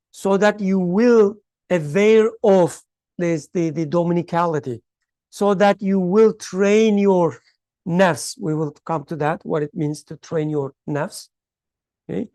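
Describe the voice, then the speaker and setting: Class leader explaining a text, slow and searching, dry room sound, often checks understanding. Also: medium pitch, darkish tone, dry recording. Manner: unhurried, thinks aloud with restarts, checks in with "right?" and "okay?"; clears throat often. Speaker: teacher leading a study class.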